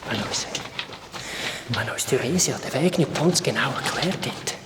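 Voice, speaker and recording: A man playing an excitable young boy adventurer with a Swiss German accent in a children's audio drama. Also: mid-range voice, measured pace, heavy background noise, natural voice. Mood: uneasy. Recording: very echoey, distant, loud music.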